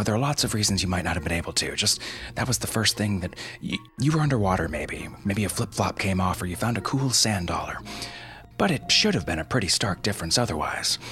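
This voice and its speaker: Deadpan man hosting, lowish voice. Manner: deadpan, sardonic, mock-solemn. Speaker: podcast host. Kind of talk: scripted comedic explainer.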